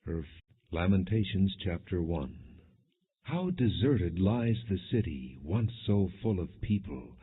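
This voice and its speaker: Through narrow, phone-like audio comes a man with a low, husky voice. He reads scripture as a solemn audiobook narrator. His phrasing is measured and deliberate, each verse set apart. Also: sing-song. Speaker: audiobook Bible reader.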